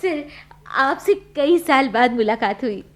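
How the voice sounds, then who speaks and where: A woman's voice: high-pitched, animated, takes it slowly. An actress in a radio play playing a woman who adores her old drama teacher, respectful and gushing, with English mixed in. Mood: admiring, gushing. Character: adoring female former drama student, deferential admirer of her teacher.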